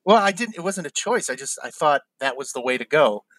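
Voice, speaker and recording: A man in a casual chat who laughs easily and tells his own stories with lively energy. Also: a little high, a little fast, no room sound. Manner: laughs easily, animated anecdotes.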